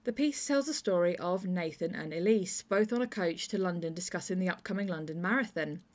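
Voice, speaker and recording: A woman's voice: on the low side, expressive, a little fast. Woman presenter introducing an audio play. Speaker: podcast host introducing audio plays. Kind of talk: scripted introduction, conversational presenting.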